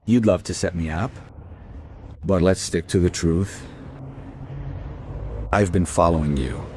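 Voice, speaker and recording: A man's voice: deep voice, a little slow, dark tone, a little hiss or hum. An actor playing a sardonic, suspicious man confronting a woman he knows in a crime radio play. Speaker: voice actor in a crime audio drama.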